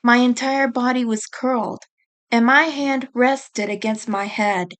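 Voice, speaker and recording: A woman reading a scripted first-person story aloud as its author, in a deliberate storytelling manner. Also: a little high, steady tone, fairly bright tone. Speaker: author reading own book chapter.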